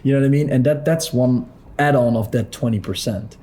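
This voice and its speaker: A man talking through a screen-share tutorial as a business coach in a lowered late-night voice, blunt and pushy, swearing freely. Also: fairly quick, warm tone, a little hiss or hum.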